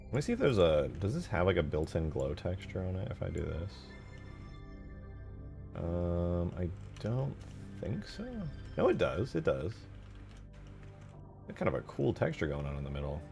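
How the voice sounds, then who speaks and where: A man with a deep voice talks loosely while he works on a livestream. His pitch moves around a lot as he thinks aloud in slangy bits, swearing casually. Faint music sits behind him. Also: big pitch swings, normal speed, vocal fry, long pauses, darkish tone. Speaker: game modder streaming their work.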